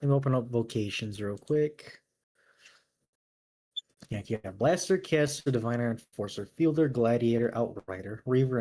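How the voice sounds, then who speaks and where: A man's voice: mid-range voice, mellow. A man chatting casually as a game player, tentative and thinking aloud. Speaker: player creating a character. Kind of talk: casual chat.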